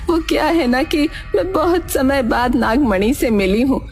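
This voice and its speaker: A scheming hunchbacked woman from a storybook tale, acted out by a woman narrator. She pitches her voice high and speaks in a cunning, sweet-talking way. Music plays clearly behind her. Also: unhurried. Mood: affectionate, coaxing. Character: scheming hunchbacked woman posing as a loving aunt.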